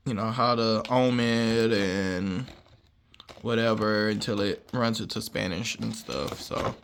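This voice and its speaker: A man's voice: mid-range voice, a bit bright, slight background noise. A man with an American accent chatting in a laid-back, rambling, slangy way like a vlogger showing off gear. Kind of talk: casual unboxing vlog chat.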